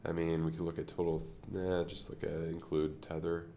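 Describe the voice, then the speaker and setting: Casual male streamer, gravelly fry, congested nasal tone, loose unscripted chart talk. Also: low voice, flat delivery, normal speed, warm tone, slight background noise. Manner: rambling, thinking aloud with many fillers. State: congested from a sinus infection. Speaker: crypto trading streamer.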